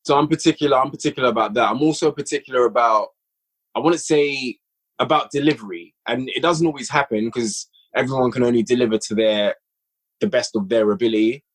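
A man's voice: mid-range voice, quick pace, slightly rough, poor audio. A man chatting casually as a podcast guest, reflective and repeating phrases for emphasis as he explains his creative work. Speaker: podcast guest, audio drama writer and director. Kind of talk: casual interview chat.

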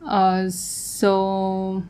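A woman's voice: mid-range voice, monotone, extremely slow, darkish tone, smooth. A young woman who grew up in Tanzania, a guest artist talking casually in an interview, often laughing and trailing off mid-sentence.

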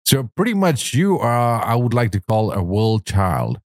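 A man's voice: mid-range voice, very animated, a little slow, no echo, studio quality. A man hosting a talk show in a casual, effusive, complimentary way, chatting as an interviewer.

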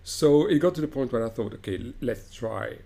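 A man's voice: medium pitch, lively intonation, a little fast, creaky, dark tone, breathy edge. A middle-aged man from Morocco, an opera director, answers interview questions thoughtfully, with frequent restarts and fillers.

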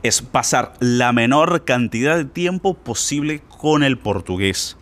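Man, casual language teacher, very expressive pitch, slangy, pushy, talks straight to the listener. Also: measured pace. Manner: informal, insistent, slangy, addresses listener directly. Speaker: Spanish teacher for learners. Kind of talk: casual spoken lesson.

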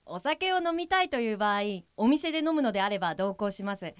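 A woman's voice: on the high side, animated, brisk, clean tone, no room sound, clean studio sound, natural voice. A woman playing an earnest guide helper for blind people in an audio drama, explaining in a polite, businesslike manner. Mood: matter-of-fact.

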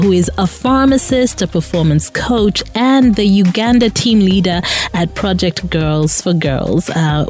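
A woman hosting an interview, her voice mid-pitched and conversational. She keeps the guest going with frequent backchannel sounds and quick questions. Faint music sits beneath her voice. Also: a little mellow. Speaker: host. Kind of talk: casual interview chat.

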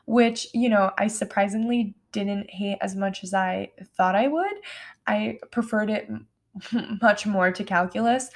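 A woman in her twenties from New York, hosting her own podcast late at night in a chatty, rambling way with many "like" fillers and no regional accent. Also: medium pitch. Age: 20s. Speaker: podcast host. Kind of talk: casual solo podcast chat. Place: home at night.

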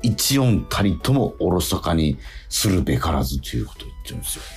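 A man hosting a casual radio talk, posing quiz questions, haltingly repeating himself and slowing down to stress key phrases. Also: low voice, big pitch swings, faint music behind.

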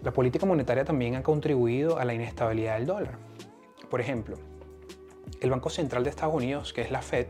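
A man's voice: medium pitch, fast, over loud music. A man with a Venezuelan accent hosting an explanatory podcast in a partly scripted monologue. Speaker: podcast host.

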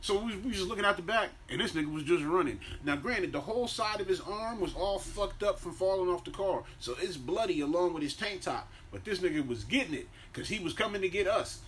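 A man with an American accent and a slightly raised pitch chats as a podcast co-host. His delivery is conversational, slangy and profane, like storytelling among friends. There is a faint noise in the recording. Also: animated, fairly quick, hardly any pauses, fairly bright tone. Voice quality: deep-voiced by own claim. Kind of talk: casual profane banter and animated storytelling.

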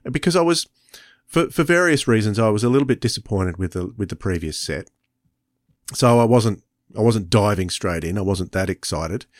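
A man with a lowish voice in a very dry recording chats as a podcast co-host. His reviews are casual and appreciative, delivered haltingly with repeated phrases. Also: lively intonation, no echo.

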